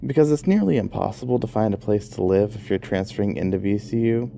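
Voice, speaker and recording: A man's voice: fairly low pitch, gravelly. A young man telling a comedic personal story in a rambling, self-deprecating way, with music behind him. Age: young adult. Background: background music.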